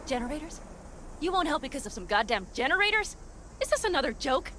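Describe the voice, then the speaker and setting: An actress playing a hot-tempered young nomad mercenary in a video game, blunt, sarcastic and quick to swear. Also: female voice, high-pitched, sing-song, background noise. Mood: indignant. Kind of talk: cinematic video game voice acting.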